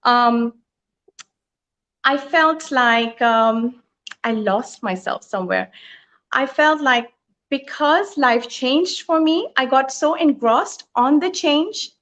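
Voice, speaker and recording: A middle-aged woman from Thailand telling her life story as a guest, earnest and thoughtful, with frequent pauses. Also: high-pitched, fairly bright tone. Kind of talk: reflective personal storytelling. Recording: lo-fi online live stream.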